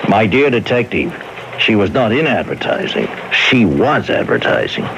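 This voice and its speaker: An actor playing a self-important, gushing advertising executive in a 1970s radio drama, theatrical, sometimes hesitant and sometimes florid. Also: male voice, on the low side, big pitch swings, moderate pace, gravelly. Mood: awed, boastful. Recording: noisy old radio broadcast.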